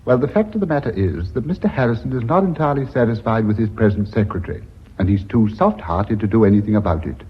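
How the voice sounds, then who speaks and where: An actor playing a teasing, assured company director in a radio drama, wry and formal. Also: male voice, lowish voice, expressive, fast talker, breathy edge. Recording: muffled vintage radio drama recording.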